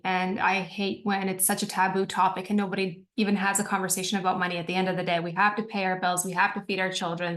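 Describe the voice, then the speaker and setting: Casting: Croatian-born woman, company co-founder, persuasive pitch over video call. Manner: energetic, earnest, persuasive.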